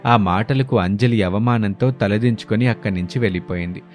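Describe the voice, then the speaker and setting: A man's voice: lowish voice, brisk, darkish tone, soft background music. A man narrating a scripted moral story as the storyteller.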